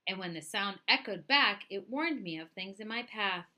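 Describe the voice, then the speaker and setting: A woman narrates a children's story in a bright, forward voice at a middling pitch. Her delivery is expressive and deliberate, shaping each phrase dramatically as a read-aloud storyteller would. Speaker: storyteller reading a picture book aloud.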